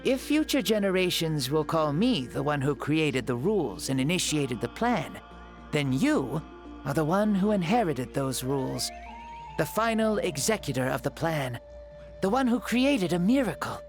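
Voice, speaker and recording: An ancient hero returned for one day in a borrowed boy's body, part solemn storyteller and part breezy joker. The voice swings up and down with lively pitch, and soft game music plays underneath. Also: moderate pace. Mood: earnest. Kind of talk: video game voice acting.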